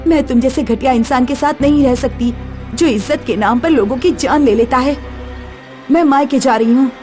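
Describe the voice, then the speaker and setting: High dramatic young wife, played by a woman. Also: moderate pace, warm tone, soft background music, close to an everyday voice. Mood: indignant. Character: earnest, frightened but resolute young village wife. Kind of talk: animated horror story dialogue.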